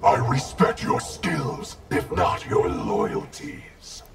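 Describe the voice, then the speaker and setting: Creaky evil ninja wraith boss for a game, cold n arrogant, big swings in pitch, music blaring behind. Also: male voice, wildly swooping pitch, exaggerated voice. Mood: menacing disdain. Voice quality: gravelly. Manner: haughty, slow and threatening. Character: menacing shadow wraith ninja clan leader, cold and arrogant. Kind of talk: video game character dialogue.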